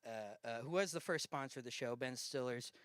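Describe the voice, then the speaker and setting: A man hosting a comedic live talk show from a garage, rambling through deadpan jokes with plenty of fillers. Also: medium pitch, fairly quick, no room sound. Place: home garage studio.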